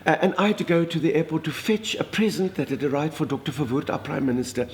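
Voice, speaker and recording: A grand older lady with a thick Afrikaans accent, as a man puts her on, gushing through a story with dramatic exclamations. The voice sits a little high, full of theatrical flourish. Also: lively intonation, fast talker, slight background noise. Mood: gossipy. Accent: Afrikaans South African. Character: chatty older Afrikaner woman, minister's wife, theatrical. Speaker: comedic monologist. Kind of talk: comic storytelling monologue.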